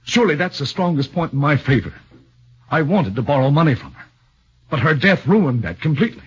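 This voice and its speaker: A man's voice: fairly high pitch, sing-song, a little fast, breathy edge, full character voice. A man voicing an anxious young accused husband who speaks nervously and earnestly, stammering, in a 1940s radio drama on a muffled old broadcast.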